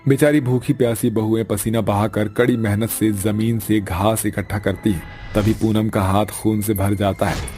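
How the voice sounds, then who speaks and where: Male narrator, lowish pitch, dramatic scripted storytelling, cartoon tale, background music. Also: level tone, moderate pace, dark tone. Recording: music behind the voice.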